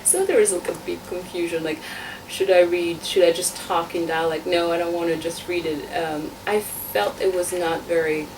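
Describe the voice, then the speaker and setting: A woman's voice: fairly low pitch, fairly even intonation, normal speed, few pauses. A woman from Tunisia, born in France, answering interview questions about her assembly work, hesitant with many fillers and restarts. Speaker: constituent assembly member, guest. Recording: distant mic, noisy room ambience.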